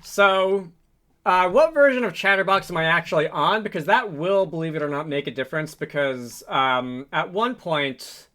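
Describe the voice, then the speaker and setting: A man hosting a casual coding tutorial, thinking aloud in a halting, improvised way with frequent hesitations. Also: on the high side, sharp tone. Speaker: tutorial host, game developer.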